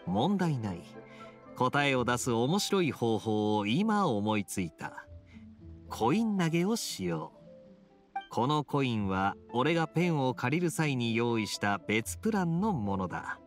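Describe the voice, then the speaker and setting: An actor voicing a suave, teasing young knight in a video game, with a smooth, playful, unhurried delivery. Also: male voice, medium pitch. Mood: teasing, sly. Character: suave, teasing young male knight with sly gambler's wit. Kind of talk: video game dialogue acting.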